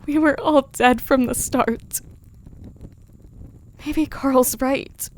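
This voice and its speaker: Actress as a defeated young woman, high voice, slow delivery, tearful, grieving, audio horror drama. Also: fairly even intonation. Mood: despairing, defeated. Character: grieving, hopeless young woman trapped by a curse. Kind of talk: dramatic audio fiction acting.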